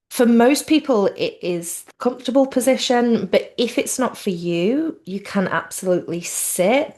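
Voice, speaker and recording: Slow-paced woman guiding relaxation. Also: medium pitch, a little mellow. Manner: soothing, unhurried, informal. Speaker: yoga nidra teacher. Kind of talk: guided relaxation, gentle spoken instruction.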